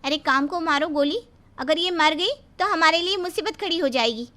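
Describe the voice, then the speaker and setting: A woman's voice: high voice, moderate pace, pushed and bright. A woman voicing a cruel, scheming young daughter-in-law who talks harshly and bossily in a cartoon. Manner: harsh, bossy.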